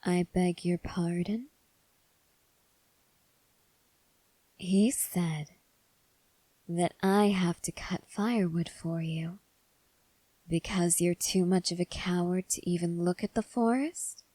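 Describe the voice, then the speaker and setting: A woman playing a calm, probing female vampire in an ASMR roleplay, speaking softly and breathily with deliberate pauses. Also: fairly low pitch, dry recording. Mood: gently coaxing. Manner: soft, breathy, measured delivery with deliberate pauses. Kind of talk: ASMR roleplay addressed to the listener.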